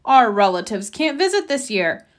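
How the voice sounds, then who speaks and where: A courteous storybook owl, a grown male schoolteacher, voiced by a woman. He speaks politely and with measured words in a high, lilting voice. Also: very animated. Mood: matter-of-fact.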